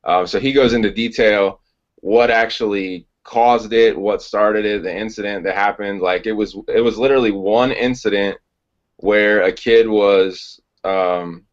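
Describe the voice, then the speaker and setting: A man hosting a podcast interview who speaks in a measured, thoughtful way, with frequent "uh" fillers. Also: fairly low pitch, steady tone, lo-fi sound. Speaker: podcast host. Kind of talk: casual interview.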